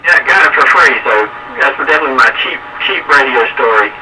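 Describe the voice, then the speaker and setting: A man's voice: mid-range voice, fairly quick. A man telling folksy, rambling stories in casual chat over a CB radio transmission. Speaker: CB radio hobbyist. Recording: noisy, distant CB radio transmission.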